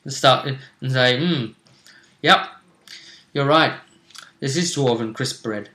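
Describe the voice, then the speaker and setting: A bright-voiced man talks through a tabletop game fight, wry and chatty. His voice rings in an echoey room. He sounds relaxed, joking as he goes. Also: medium pitch, takes it slowly. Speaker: game master. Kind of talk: recapping a tabletop game session.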